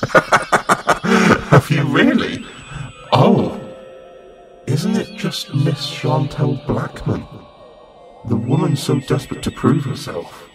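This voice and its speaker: A man's voice: medium pitch, very animated, takes it slowly. Mocking villain played by a man, sweeping theatrical delivery. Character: taunting male villain lording over Limbo. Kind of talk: audio drama acting. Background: music.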